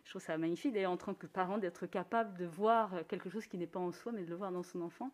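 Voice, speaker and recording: A woman musician speaking in a thoughtful, earnest way during a radio conversation, sometimes laughing softly. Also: fairly low pitch, fast. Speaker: musician guest. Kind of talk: reflective conversation.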